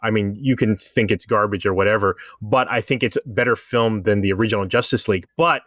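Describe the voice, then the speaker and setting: A man's voice: fairly low pitch, brisk, fairly bright tone, no room sound. Dry-sounding man talking pop culture. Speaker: podcast panelist. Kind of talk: casual pop-culture discussion.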